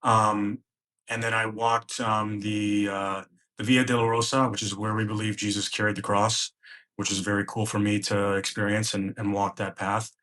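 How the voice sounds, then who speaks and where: A man's voice: fairly low pitch, little pitch movement, moderate pace, sharp tone. A man, a podcast guest, recounting a personal travel story in present tense and acting out the dialogue he remembers. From United States.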